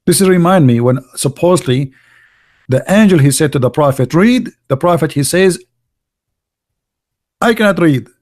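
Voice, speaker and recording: A man's voice: fairly high pitch, expressive, moderate pace, long pauses, darkish tone. A middle-aged man hosting a live podcast, talking in a sarcastic, mocking way in broken, English. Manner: sarcastic, heavy accented English with broken grammar. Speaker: host of a religious debate show.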